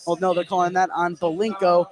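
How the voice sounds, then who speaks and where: A man's voice: high voice, little pitch movement, a little fast, over music. A man calling live radio basketball play-by-play in a running narration that follows the action. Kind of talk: live radio sports commentary.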